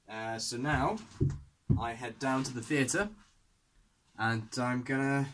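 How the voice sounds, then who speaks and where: A man's voice: medium pitch, fairly bright tone. Young man giving a casual vlog update. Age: young adult. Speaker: vlogger.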